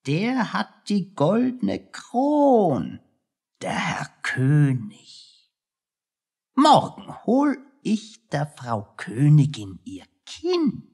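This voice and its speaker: A woman playing a childlike, muddled village fool in a radio play, babbling in disjointed, drawn-out singsong. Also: lowish voice, huge pitch swings, a little mellow, slightly rough, full character voice. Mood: dreamy, muddled. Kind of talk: German radio play acting.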